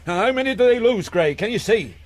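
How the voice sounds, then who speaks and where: Gruff British sea captain, high and animated, stoic under fire, music behind, radio play. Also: male voice, fast, slight background noise. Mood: stoic, wry. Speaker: ship's captain character. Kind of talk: radio drama acting.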